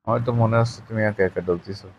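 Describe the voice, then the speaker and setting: A man's voice: on the low side, fairly even intonation, quick pace, highs cut off. A man playing a teasing lover who lives away from his partner, casually probing in an intimate late-night phone chat.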